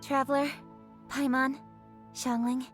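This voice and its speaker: Polite, composed young lady for a game cutscene, high voice, formal and kindly, music underneath. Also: flat delivery, takes it slowly. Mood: warm, grateful. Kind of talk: video game cutscene acting. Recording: music playing behind the voice.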